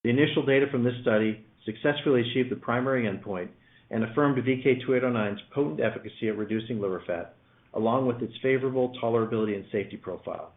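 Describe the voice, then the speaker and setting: A man in the role of company executive reads a scripted earnings presentation in a formal, measured way. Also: mid-range voice, phone-quality sound. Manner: formal, measured read-out delivery. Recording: roomy, slightly band-limited conference call line.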